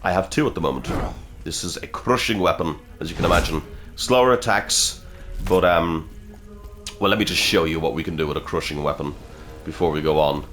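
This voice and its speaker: Brief: low-voiced male game host, a bit sniffly from a cold, casual and swearing, faint game music underneath. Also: a little fast, fairly bright tone. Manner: chatty, swears, reacts aloud while fighting. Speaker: gaming video host. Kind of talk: casual gameplay commentary.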